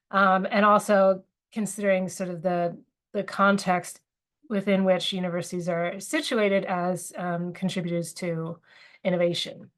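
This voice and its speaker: Woman, webinar lecturer, slightly sniffly from a cold, thoughtful, many ums and restarts. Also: mid-range voice. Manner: thoughtful, with frequent fillers and restarts. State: has a cold, a little sniffly. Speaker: academic presenter. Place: university.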